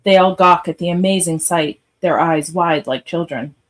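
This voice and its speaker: A woman's voice: on the low side, normal speed. A woman reading stage directions as the narrator of a live radio theatre script read.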